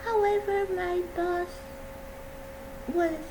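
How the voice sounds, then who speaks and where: A woman with a soft voice giving a gentle, earnest personal talk on mental health. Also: extremely high pitch, slow. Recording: steady background hum.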